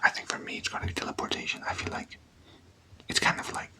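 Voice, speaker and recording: Casting: ASMR whisperer, very bright and breathy, casual and playful, conversational questions to the listener. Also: fast. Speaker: ASMR content creator.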